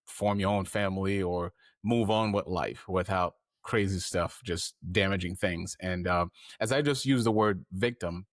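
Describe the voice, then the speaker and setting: Deep-voiced earnest male podcast host. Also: a little slow, no room sound, studio quality. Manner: earnest, rambling with fillers. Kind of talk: heartfelt interview.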